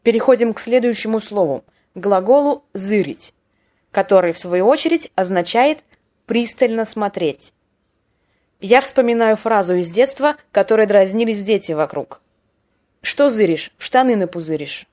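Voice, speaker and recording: A woman's voice: mid-range voice, expressive, moderate pace, a little mellow. A woman hosting a podcast that explains slang words, in wry scripted narration with short acted example lines. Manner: wry, playful, acts out short example dialogues. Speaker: podcast host teaching Russian slang.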